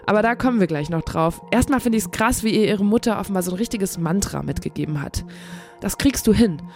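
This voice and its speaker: A woman hosting an interview, asking relaxed, curious questions with some read narration. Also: medium pitch, brisk, soft background music. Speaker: podcast host.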